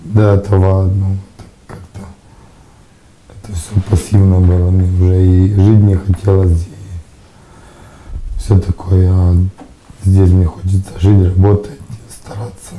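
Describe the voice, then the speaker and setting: Hoarse young man, low monotone, near-whispered halting testimony, faint hiss behind. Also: low-quality recording. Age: young adult. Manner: quiet, halting, often dropping to a whisper. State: hoarse, lost his voice. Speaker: patient giving a testimonial. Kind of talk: interview testimonial. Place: studio, plain dark backdrop. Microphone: lapel mic.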